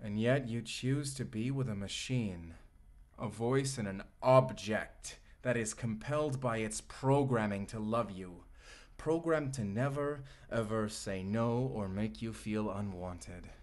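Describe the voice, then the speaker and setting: An actor playing a vengeful, obsessive male AI companion in a dark sci-fi audio roleplay, speaking in a deliberate, bitter, theatrical way. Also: lowish voice, lightly acted. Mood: bitter, incredulous. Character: vengeful, obsessive male AI companion turned menacing robot lover.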